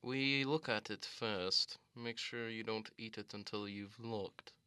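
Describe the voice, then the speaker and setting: Guy doing a posh-mannered prisoner showing folks around, slow n bright, radio comedy bit. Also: fairly low pitch, fairly even intonation, clear, resonant tone, no echo, voice pushed down. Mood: calm, polite. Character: genteel, leisurely prisoner showing a newcomer around. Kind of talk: improvised radio drama comedy.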